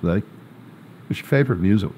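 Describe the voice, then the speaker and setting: A man hosting a casual interview, chatty and joking, asking quick follow-up questions. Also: fairly low pitch, animated, mellow, a little hiss or hum. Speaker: host.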